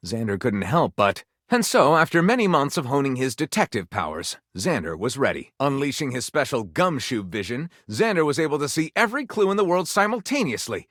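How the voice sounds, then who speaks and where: A man's voice: medium pitch, very animated, brisk, few pauses, a bit bright, dry recording, clean studio sound. A man playing a theatrical, salacious game storyteller, narrating absurd melodrama in a grandiose, suggestive manner. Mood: melodramatic, gleeful. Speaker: game character voice actor. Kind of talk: dramatic scripted narration.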